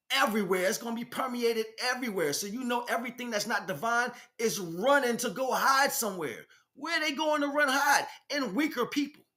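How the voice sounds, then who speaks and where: A man's voice: very high-pitched, pushed and bright. An American man delivering an informal spiritual monologue as a teacher, speaking emphatically in streetwise slang and addressing listeners directly.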